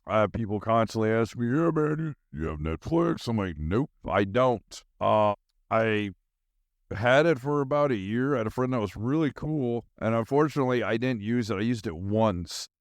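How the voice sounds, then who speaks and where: A man's voice: mid-range voice, lively intonation, normal speed, dry recording. A middle-aged man with an American accent, hosting a podcast in a casual, rambling, slangy monologue. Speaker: podcast host.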